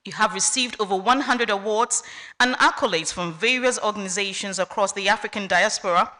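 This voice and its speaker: A woman reading an honorary degree citation at a university ceremony in a measured, deliberate way. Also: mid-range voice, sharp tone. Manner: measured, deliberate list-by-list delivery. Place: university lecture hall.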